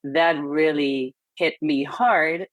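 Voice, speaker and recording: A woman's voice: deep voice, steady tone, takes it slowly, no room sound. A woman in her thirties from Hungary, speaking with a Hungarian accent as a podcast guest, candid and reflective with hesitant fillers. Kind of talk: casual interview chat.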